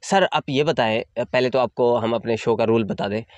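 A man's voice: medium pitch, moderate pace, dry recording, dull audio. A young man hosting a spoof interview, teasing his guest in formal phrasing and laughing at his own jokes. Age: young adult. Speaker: comedy host. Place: small indoor studio with patterned backdrop.

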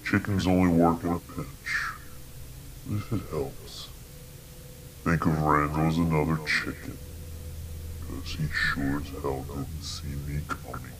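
A man playing a sinister, taunting entity that lives in television static, speaking in a calmly menacing, mocking manner in an analog horror drama. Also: extremely low pitch, slow, pauses often, exaggerated voice, poor audio. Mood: coldly persuasive. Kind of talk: analog horror scripted dialogue.

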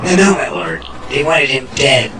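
A man's voice: medium pitch, moderate pace, close to an everyday voice. An actor playing a frightened, stammering underling who pleads with his master in a space drama. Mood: pleading. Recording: loud music under the voice.

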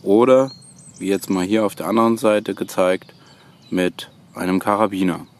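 A man giving a hands-on how-to demonstration outdoors, in halting, hesitant phrases. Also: low voice. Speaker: host of a bushcraft tutorial channel. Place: outdoors on a woodland floor, birdsong behind.

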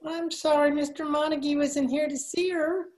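Timid, prissy, very high-pitched man played by an actor. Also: fairly even intonation, moderate pace, darkish tone. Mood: earnest. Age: older. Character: timid, prissy, mild-mannered male radio director. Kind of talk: amateur old-time radio comedy recreation. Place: living room by staircase, video call. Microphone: video call.

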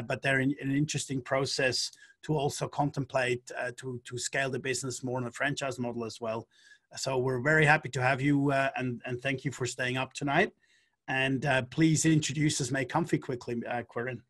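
A man in his forties hosts an online panel over a computer mic. He sounds upbeat and speaks at a middle pitch, doubling words and dropping in fillers as he goes. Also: a bit bright. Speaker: panel moderator. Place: home office, video call.

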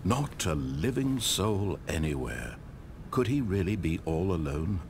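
A man's voice: low voice, very animated, measured pace, background noise, exaggerated voice. An actor playing a droll, pompous male game narrator, theatrical and wry in his scripted storytelling. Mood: measured, dry. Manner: theatrical, wry, mock-dramatic.

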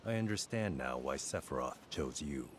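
A man playing a grave, businesslike commanding officer in a video game, terse and measured. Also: extremely low pitch, expressive, slight background noise. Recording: game music under the dialogue.

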